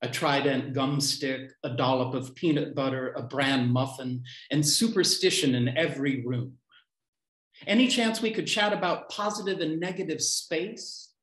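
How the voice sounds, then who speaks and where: A man in his fifties reading his poems aloud on a video call from home, declaiming short lines with deliberate pauses. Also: medium pitch, fairly even intonation, fairly bright tone. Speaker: poet reading own poems. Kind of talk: live poetry reading. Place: small room at home. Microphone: computer mic on a video call. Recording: roomy, echoey home video-call sound.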